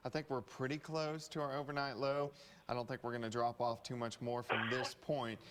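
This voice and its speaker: American weather guy on tv, medium pitch, chatting through the forecast. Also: flat delivery, fairly quick, fairly bright tone, slight background noise. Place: TV news studio.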